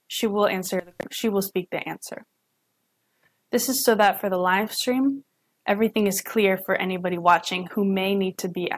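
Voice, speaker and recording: Young woman voicing a signed lecture. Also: medium pitch. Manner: halting, with self-corrections and restarts. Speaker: student sign language interpreter.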